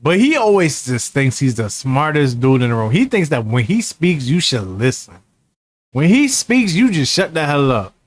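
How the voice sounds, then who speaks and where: A man's voice: a little high, very animated, moderate pace. A man in his thirties, a streamer reacting to a video in casual, mocking, slangy banter. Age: 30s.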